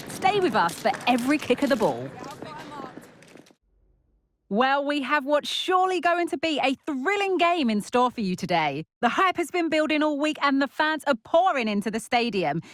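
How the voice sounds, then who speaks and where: A woman playing an eager TV football commentator in a video game, giving emphatic, dramatic scripted pre-match build-up. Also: high voice.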